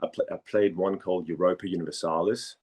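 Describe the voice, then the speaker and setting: A man talks casually as an interview guest. He speaks in a halting way, circling back over phrases as he searches for words. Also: deep voice, steady tone, a little mellow, husky. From Denmark. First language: Danish.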